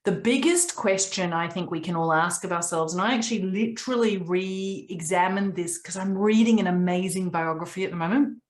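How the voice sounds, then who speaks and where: Earnest lower-voiced woman coach. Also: normal speed, hardly any pauses. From Papua New Guinea. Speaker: coach hosting a group Q&A. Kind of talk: coaching talk on a video call. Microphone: video call.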